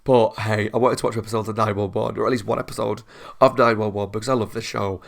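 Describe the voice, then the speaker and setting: A man's voice: on the low side, fairly even intonation, fast, gravelly. A man hosting a TV reaction channel, congested with a cold, chatting in a rambling, casual way and laughing often.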